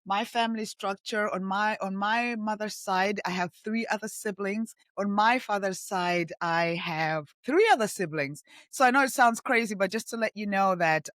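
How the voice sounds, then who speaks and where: A woman's voice: medium pitch, normal speed, few pauses, fairly bright tone, no room sound. Role: woman guest, reflective. Origin: Tanzania and Zambia. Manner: earnest, heartfelt. Room: dry. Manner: earnest, heartfelt, repeats herself for emphasis. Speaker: podcast guest. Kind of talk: reflective interview talk.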